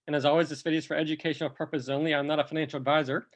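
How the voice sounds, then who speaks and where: A man who is a bit under the weather, with a cough, walking through price charts in a relaxed, step-by-step commentary. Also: a little high, racing. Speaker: crypto chart analyst. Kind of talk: screen-recorded chart walkthrough.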